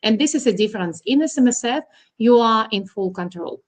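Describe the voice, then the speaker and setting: Russian-born middle-aged accountant, plain instructive guest with frequent fillers. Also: female voice, a little high, expressive, brisk. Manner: plain, instructive, with ums and uhs. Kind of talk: explaining to an interviewer.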